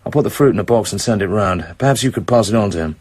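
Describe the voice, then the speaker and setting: An actor playing a suave British secret agent in a radio drama, with clipped, terse delivery. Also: male voice, on the low side, animated, very fast, a little mellow, husky, dry recording. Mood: cool, businesslike. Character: suave British male secret agent narrating his own danger. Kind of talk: radio drama acting, terse first-person narration. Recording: music behind the voice.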